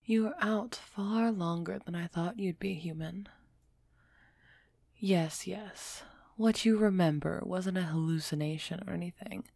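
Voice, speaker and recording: A woman playing an aloof, aristocratic vampire who is softening toward a human, in a measured, musing audio roleplay performance. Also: on the low side, gravelly, dry recording, clean studio sound. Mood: cool concern. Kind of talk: scripted audio roleplay acting, close and soft.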